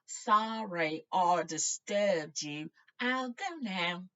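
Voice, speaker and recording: A woman's voice: fairly low pitch, expressive, extremely slow, fairly bright tone, highs cut off, pitched above the performer's own voice, exaggerated voice. A woman voicing an apologetic, flustered young wizard boy with a shaky, polite manner in a storybook reading. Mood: loud, apologetic.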